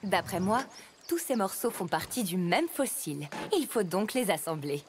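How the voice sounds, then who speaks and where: A woman's voice: medium pitch, big pitch swings, moderate pace, slight background noise, natural voice. A woman voicing a friendly, careful scientist truck who instructs youngsters in a children's cartoon, over music. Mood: instructive. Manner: instructive, clearly addressing children. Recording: music behind the voice.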